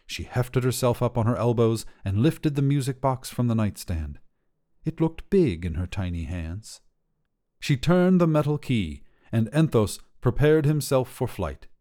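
Male story narrator, soft dark timbre, scripted fiction, measured storytelling. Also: mid-range voice, very animated.

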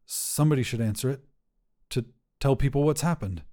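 A man playing a grieving, bewildered character who speaks in an awkward, earnest and halting way. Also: medium pitch, warm tone, natural voice. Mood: awkward, reassuring.

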